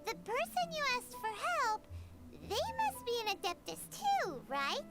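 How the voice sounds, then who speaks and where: Squeaky, tiny fairy sidekick. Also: female voice, huge pitch swings, unhurried, heavily acted. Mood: perky, curious. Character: tiny, perky, chatty childlike floating fairy companion. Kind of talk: video game voice acting. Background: game music.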